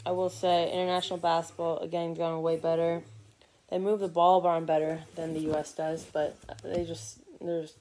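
A man's voice: on the high side, little pitch movement, normal speed, darkish tone, rough recording. A teenage boy giving casual live commentary on a basketball game from his couch, rambling with many fillers and restarts. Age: teens. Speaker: sports vlogger. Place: living room couch, indoors. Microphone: phone or laptop camera from below.

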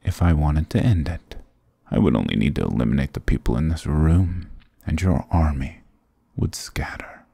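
A man's voice: expressive, moderate pace, warm tone, dry recording, full character voice. A man voicing a dominant, sarcastic demon king in an ASMR roleplay, teasing and menacing, close to the mic. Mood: smug, commanding. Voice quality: very deep, gravelly, husky. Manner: sarcastic, teasing, menacing purr with low chuckles. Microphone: close, dry microphone.

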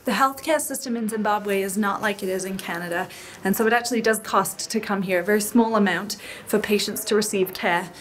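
A woman's voice: mid-range voice, fairly quick, fairly bright tone, slight background noise. A young woman filming herself at arm's length on a trip, talking with bubbly enthusiasm about what her team is seeing. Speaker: team member on a learning trip. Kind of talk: travel vlog selfie narration. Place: outdoors at a rural mission and indoors in hospital rooms. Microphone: handheld camera at arm's length.

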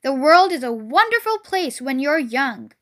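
A woman voicing a fast-talking female goose who repeats her words three times. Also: sits high, sing-song, a bit bright, exaggerated voice. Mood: excited.

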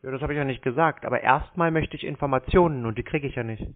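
A man's voice: mid-range voice, quick pace. A man talking bluntly and insistently in an argumentative phone conversation, challenging the other side. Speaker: scam baiter taking a phone call. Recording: tinny narrow-band phone line.

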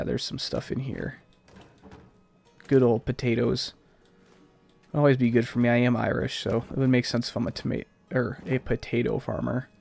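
A man's voice: medium pitch, creaky, a little mellow. A man with a slightly stuffed-up, scratchy voice giving casual, rambling gameplay commentary as a let's play host.

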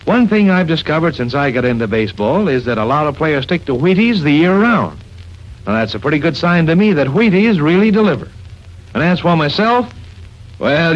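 A man announcing and narrating in an emphatic, polished 1940s radio style. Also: high voice. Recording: dull lo-fi 1940s radio recording.

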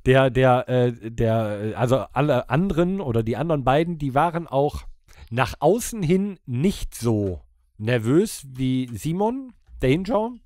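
A man's voice: medium pitch, sing-song, measured pace, a little mellow, no echo, clean studio sound. A man co-hosting a podcast, telling stories and bantering casually. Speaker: podcast co-host.

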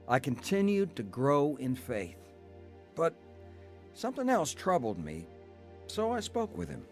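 A man's voice: a little high, wildly swooping pitch, unhurried, faint music behind. A man acting an earnest, devout husband who tells his own story in a radio drama. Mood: earnest, reflective. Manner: earnest, heartfelt. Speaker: narrator and lead actor. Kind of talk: radio drama acting and first-person narration.

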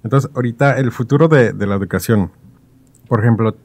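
A man, hosting a casual podcast with thoughtful, halting phrasing. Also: medium pitch, darkish tone. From Mexico. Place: small padded podcast studio. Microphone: studio mic on stand.

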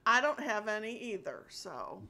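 An older woman chatting casually on a call from a small home office, friendly and quick to laugh. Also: medium pitch, sing-song, pushed and bright. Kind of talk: casual phone chat.

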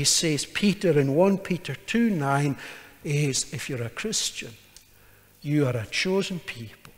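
A middle-aged man preaching a sermon from a church pulpit, emphatic and gesturing, building to forceful repetition. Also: fairly high pitch. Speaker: pastor. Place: church sanctuary, standing at a pulpit. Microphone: pulpit microphone. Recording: long church reverb.

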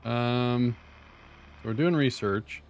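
An older man streaming a strategy game from his home, talking to himself in quiet, low-key asides. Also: slow, slight background noise, poor audio. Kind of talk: casual let's play commentary. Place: home room, seated in a dark chair.

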